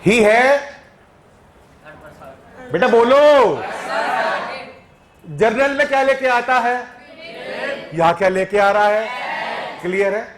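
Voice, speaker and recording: High-voiced playful teacher, echoey classroom. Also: male voice, takes it slowly, pauses often, crowd noise. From Punjab. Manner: playful, teasing, quizzes students. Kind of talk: classroom grammar lecture. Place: classroom with students.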